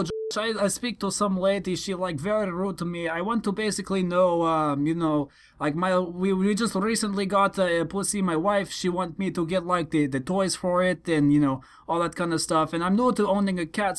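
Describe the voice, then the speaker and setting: Man putting on a high-pitched naive husband. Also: natural voice. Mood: naive, earnest. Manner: halting, repetitive, mock-innocent questioning. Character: foreign husband, naive new cat owner with broken English. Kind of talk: prank phone call.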